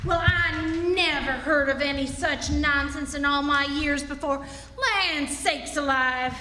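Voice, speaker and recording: A woman's voice: sits high, fairly even intonation, a little slow, sharp tone, a little hiss or hum. A woman playing a scandalized, exasperated country aunt in a radio drama. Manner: exasperated, folksy. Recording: echoey live stage.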